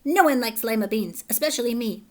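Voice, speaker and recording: Woman doing a storybook schoolgirl, high and loud, cries out her lines. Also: a little fast, lightly acted. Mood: whiny. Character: young schoolgirl, stubborn then desperate.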